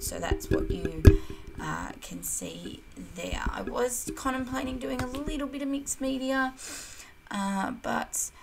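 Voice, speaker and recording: A woman, croaky from losing her voice, chatting casually through a scrapbooking voiceover with lots of ums. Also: mid-range voice, a little hiss or hum. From Australia. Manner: chatty, rambling, self-deprecating, many ums. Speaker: kit club design team crafter. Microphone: voiceover recorded separately from hands-on video.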